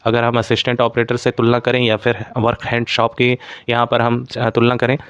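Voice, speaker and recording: A man's voice: medium pitch, completely flat delivery, brisk, fairly bright tone, smooth, no room sound, lo-fi sound. A man presenting an exam analysis for students, explaining in an instructive way, addressing viewers directly and mixing in English terms.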